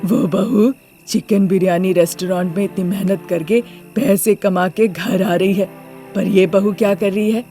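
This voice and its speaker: A bossy older mother-in-law from a moral story, played by a woman, at a medium pitch. She is scornful and complaining, with faint music underneath. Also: steady tone, unhurried, warm tone, close to an everyday voice. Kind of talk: Hindi moral story character voice.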